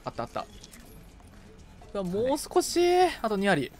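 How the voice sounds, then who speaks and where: Male gamer, slightly high voice, animated swings, excitable co-op chatter over game music. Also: measured pace. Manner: excitable, reacts aloud to the game while playing. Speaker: video game streamer. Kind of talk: casual co-op gaming chat. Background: video game music.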